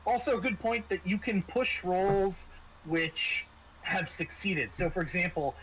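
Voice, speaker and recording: A man acting as game master, explaining a tabletop game casually in a halting way. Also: high-pitched, fairly bright tone. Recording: clearly noisy room recording.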